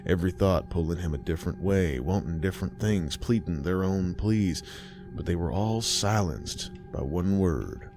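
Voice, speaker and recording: A man narrating a horror story in a measured, ominous way. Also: sits low. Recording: music bed behind.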